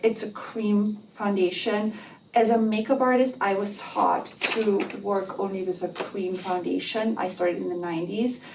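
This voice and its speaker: A woman's voice: mid-range voice, fairly even intonation, measured pace, vocal fry. A middle-aged woman from Austria, a makeup artist chatting upbeat in a casual vlog and talking through her products. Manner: chatty and upbeat, with fillers like "you know". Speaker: makeup artist and beauty brand founder. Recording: distant phone mic with background noise.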